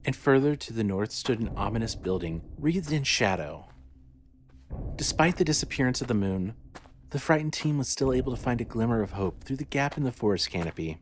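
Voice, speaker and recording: A man's voice: mid-range voice, lively intonation, brisk, a little hiss or hum. A man reading scripted horror fiction as the narrator of an audio story.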